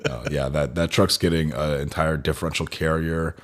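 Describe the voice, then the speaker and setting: A man's voice: a bass voice, steady tone, moderate pace, a bit bright. A young adult man co-hosting a podcast, talking casually about truck repairs at length, with lots of fillers. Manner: rambling, technical shop talk with fillers. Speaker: podcast co-host, dealership technician. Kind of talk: casual chat.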